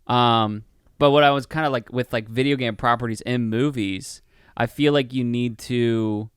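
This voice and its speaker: A man's voice: mid-range voice, steady tone, normal speed, clear, resonant tone, dry recording, clean studio sound. A man co-hosting a podcast in casual chat, rambling and peppering his talk with "like" and swearing. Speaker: podcast co-host. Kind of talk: casual chat, film review.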